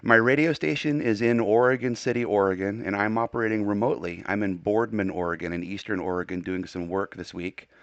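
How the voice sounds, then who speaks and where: A man's voice: lowish voice, steady tone, brisk, few pauses, no room sound, muffled recording. An American man working as an amateur radio operator, speaking courteously in a casual on-air radio style. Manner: courteous, spells with phonetic alphabet.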